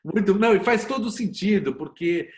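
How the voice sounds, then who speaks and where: Homem brasileiro explicando em entrevista. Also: male voice, high voice, expressive, normal speed. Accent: Brazilian. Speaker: interview guest, series producer and screenwriter. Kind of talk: conversational explanation in an interview.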